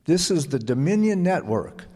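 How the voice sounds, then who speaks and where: A man reading a script as an old-time radio announcer, with measured, deliberate phrasing. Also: a little high, sing-song, mellow, slightly rough. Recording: echoey hall with audience present.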